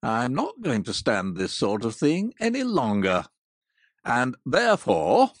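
A woman voicing a gruff old male miser turned jolly, growling and hearty. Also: very deep voice, wildly swooping pitch, measured pace, no echo, clean studio sound, voice pushed down, full character voice. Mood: mock gruff.

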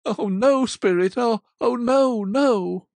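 A woman voicing a frightened old miser who pleads loudly and urgently, in a dry, close recording. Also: on the low side, expressive, a little slow, dry recording. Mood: desperate. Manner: loud, urgent, beseeching.

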